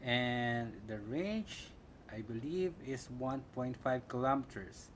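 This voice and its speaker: A man with a Filipino accent giving a casual, halting unboxing commentary, thinking aloud as he goes. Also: mid-range voice, animated. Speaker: product reviewer. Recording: clear background room noise.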